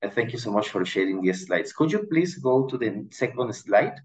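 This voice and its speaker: A man in his thirties from Ecuador, giving a measured panel presentation over a video call, with frequent restarts. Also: mid-range voice, sing-song. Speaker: education manager, panelist. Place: indoor room. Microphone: video call webcam.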